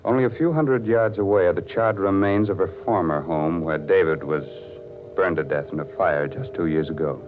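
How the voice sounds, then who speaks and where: A man's voice: low-pitched, moderate pace, music in the background. A man announcing and narrating in scripted 1940s radio style, theatrical and polished. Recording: muffled, narrow-band 1940s radio recording.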